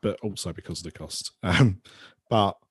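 A man's voice: sits low, moderate pace, husky, no echo, clean studio sound. A man co-hosting a podcast in casual chat, speaking hesitantly and often stammering over his words. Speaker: podcast co-host.

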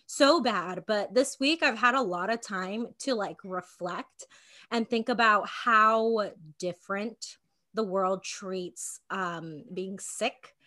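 Bright-voiced young woman with a cold. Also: medium pitch, slow. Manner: chatty, rambling, frequent fillers and laughs. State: congested with a cold and cough. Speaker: podcast co-host. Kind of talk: casual podcast chat.

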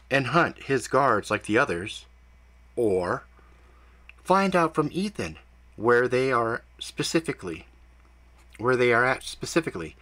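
A man voicing a calm telepathic woman guide who speaks softly and soothingly, with a touch of dry teasing. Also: mid-range voice, voice pushed up.

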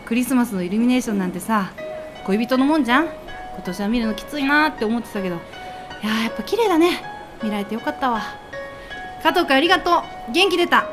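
A woman's voice: fairly high pitch, expressive, fast, over music, close to an everyday voice. A woman playing a drunk, heartbroken woman in her thirties, a brash senior colleague with blunt, dramatic grumbling, in an amateur radio drama. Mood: wistful, brightening.

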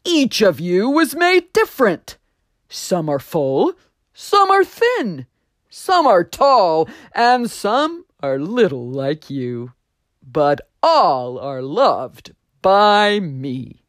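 A woman voicing a gentle, loving creator whose voice comes on the wind, calm and reassuring. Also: medium pitch, takes it slowly, no room sound, pitched above the performer's own voice. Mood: tender. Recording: dry close studio sound.

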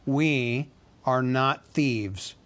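A man voicing a grave, steady leader who bargains calmly and firmly, in a storybook reading. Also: medium pitch, extremely slow. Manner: calm, firm.

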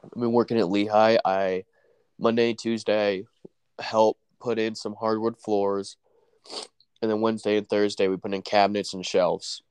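A man's voice: lowish voice, little pitch movement, moderate pace, a little mellow, clear, resonant tone, no room sound. A young man with a stuffy nose from allergies, chatting casually about work and sports, saying "dude" and "bro" a lot. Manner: laid-back, says "dude" and "bro" a lot.

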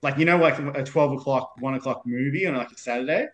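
A man's voice: medium pitch, fast. A man in his thirties with an Australian accent, a podcast guest chatting casually in a meandering way, with lots of fillers. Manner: meandering, full of fillers and restarts. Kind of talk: casual chat.

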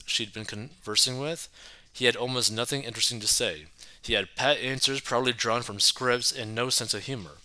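A man reading an article aloud at a steady, measured pace, then turning to casual commentary. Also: fairly low pitch, no room sound, low-quality recording.

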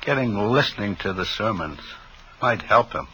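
Low-voiced folksy reformed old hustler. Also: male voice, expressive, normal speed, bright, forceful tone, a little hiss or hum, poor audio. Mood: rambling, obliging. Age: 50s. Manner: rambling, folksy, easily distracted. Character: reformed 58-year-old skid-row drug peddler, folksy and pious. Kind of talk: 1950s radio drama acting. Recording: old radio drama, music playing behind.

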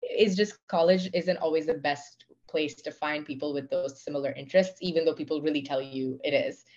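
A fairly low-voiced young woman with an Indian accent talks at length about herself in a podcast chat. She thinks aloud in long, winding sentences full of fillers. The audio sounds dull and lo-fi. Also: steady tone, fairly quick, a little mellow. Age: 20s. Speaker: guest. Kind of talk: casual conversation.

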